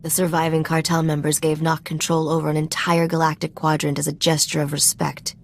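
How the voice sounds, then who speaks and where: Low-voiced, wry treasure hunter. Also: female voice, completely flat delivery, fairly quick, creaky, slight background noise. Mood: wry, confident. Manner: dry, teasing, self-assured. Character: sly, wry female treasure hunter and ship mechanic. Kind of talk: video game cutscene acting.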